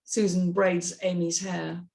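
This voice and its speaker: A woman's voice: lowish voice, little pitch movement, slow, creaky, darkish tone. A woman narrating the action lines of a screenplay as host of a script reading.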